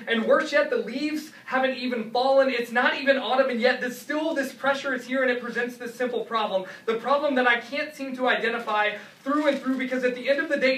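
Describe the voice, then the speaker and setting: A man's voice: very high-pitched, fairly bright tone. A man performing slam poetry in an intense, emphatic, rhythmic delivery that leans on repeated words. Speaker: slam poet. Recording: roomy echo, distant mic, faint noise.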